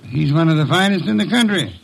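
A haughty, learned detective of old-time radio. His voice sits a little high for a man and has a rough, creaky grain. He speaks in curt, precise, pompous phrases laced with sardonic disdain. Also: animated, quick pace, heavily acted, rough recording. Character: gruff, haughty, erudite male detective boss, orchid lover.

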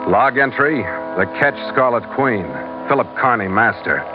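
Loud dramatic music runs behind a muffled old radio recording of a man acting a seasoned sea captain. He tells his log in a steady, clipped, hard-boiled voice of middling male pitch, in the style of 1940s adventure serials. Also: normal speed, close to an everyday voice. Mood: terse, grim.

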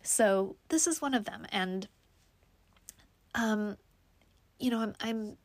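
Young woman vlogger, stuffy nose, slow reflective delivery, dry close sound. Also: mid-range voice, dry recording. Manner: slow, reflective, many ums and likes. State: stuffed up from allergies. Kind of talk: casual personal vlog talk.

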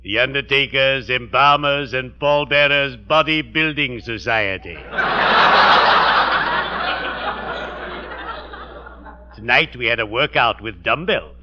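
An actor playing a genial undertaker with a solemn delivery, formal and morbidly courteous, in 1940s radio comedy style. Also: male voice, mid-range voice, normal speed, lightly acted. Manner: formal, flowery, lugubriously courteous. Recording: dull old radio recording.

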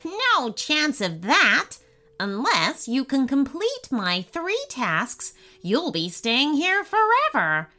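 Lady doing a nasty lil storybook imp guy, gleeful n gloating, swoopy drawn-out voice. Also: high voice, wildly swooping pitch, sharp tone, voice pushed up, exaggerated voice. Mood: gleeful, taunting. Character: tiny gleeful wicked male imp, mischievous and taunting. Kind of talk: storybook character voice.

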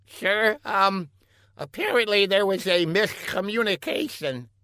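A man's voice: high voice, a little slow, pushed and bright, no room sound, studio quality, pitched above the performer's own voice. A man voicing a meek, deferential scientist henchman in a comic audiobook, hesitant and obsequious. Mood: nervous, apologetic.

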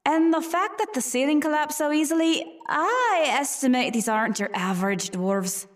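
Gentle scholarly creature in female human form, audio drama, woman actor: high voice, lively melody, formal, fussily caring. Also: moderate pace. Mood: scholarly, curious. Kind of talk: fantasy audio drama acting.

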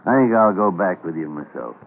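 An American actor playing a gruff, fatherly older senior doctor in a classic radio drama, speaking in a measured, emphatic way. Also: sits low, lively intonation. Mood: grave, clinical. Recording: muffled, hissy old radio recording.

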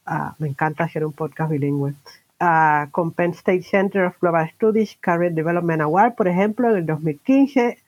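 A woman's voice: on the low side, moderate pace, darkish tone. A woman hosting an informal bilingual podcast interview, mixing English words into her Spanish. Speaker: podcast host interviewing a guest.

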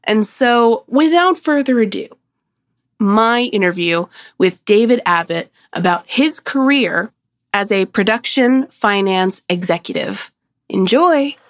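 A woman's voice: mid-range voice, animated, takes it slowly, no room sound, clean studio sound. Chatty woman host, nasal from a cold. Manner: chatty, laughs often. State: slightly nasal from a summer cold. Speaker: podcast host. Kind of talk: casual podcast chat.

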